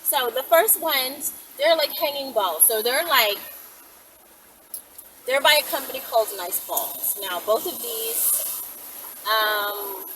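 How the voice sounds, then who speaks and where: Woman, Bahamian accent, bright forceful voice, candid informal host talk, faint background noise. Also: on the high side, animated, takes it slowly, pauses often, low-quality recording. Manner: blunt, informal, sprinkled with swearing. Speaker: video channel host. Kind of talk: casual, candid advice chat to viewers.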